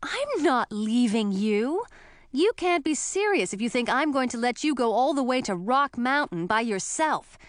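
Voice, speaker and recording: A woman's voice: on the high side, animated, normal speed, dry recording, voice pushed up, natural voice. A devoted young fantasy heroine read by a woman narrator, stubborn and insistent. She speaks earnestly, a little raised from the narration, in a close dry recording. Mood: determined. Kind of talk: audiobook fantasy character voice.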